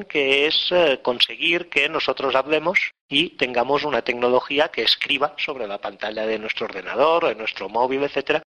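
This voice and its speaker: A man with a Catalan accent, a professor who explains patiently in a radio interview. His voice is clear and bright. He leans on small fillers as he works through each point. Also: medium pitch. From Barcelona, Spain. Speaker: phonetics professor, guest.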